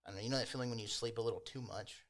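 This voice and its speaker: A man's voice: medium pitch, steady tone, very fast, fairly bright tone. A man running a tabletop game as game master, narrating in an informal, improvised, chatty way. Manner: informal, chuckles while narrating.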